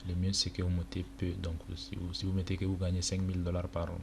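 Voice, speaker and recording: Young male tutor from Chad, first language Arabic, deep flat delivery, halting and casual, noisy home recording. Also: gravelly. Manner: casual, halting, many fillers. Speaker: tutorial presenter. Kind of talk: screen-recorded walkthrough. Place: indoor room at a computer.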